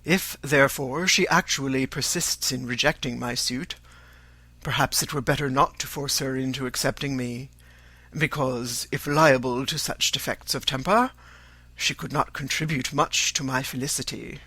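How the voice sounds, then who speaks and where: A narrator voicing a pompous young male suitor in an audiobook, speaking in formal, measured phrases. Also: medium pitch, fairly even intonation, bright, forceful tone, slightly rough, no echo. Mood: self-important. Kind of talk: audiobook character voice.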